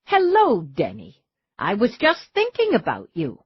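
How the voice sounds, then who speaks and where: A woman's voice: mid-range voice, huge pitch swings, a little slow, slightly rough, no echo, voice pushed up, full character voice. A woman voicing a cheerful, hospitable middle-aged wife and mother who talks in a sweet, folksy way, in a dull lo-fi audiobook recording.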